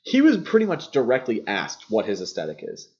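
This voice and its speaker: Male podcast co-host, mid pitch, animated intonation, casual analytical talk, muffled lo-fi recording. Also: fairly quick. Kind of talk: casual film discussion.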